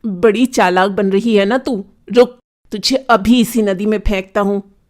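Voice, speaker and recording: Cartoon villain, played by a man: bullying robber with a cowardly core, slow theatrical delivery. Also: high voice, fairly even intonation. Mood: menacing. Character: leering, bullying male robber, cowardly when cornered. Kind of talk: children's cartoon villain acting.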